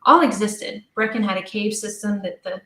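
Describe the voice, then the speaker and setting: A middle-aged woman speaks as an interview guest in a roomy, echoing space. Her voice sits at a medium pitch. She tells her story casually and chattily, often slipping into quoted dialogue. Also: moderate pace, bright, forceful tone. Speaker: interview guest, audio drama creator.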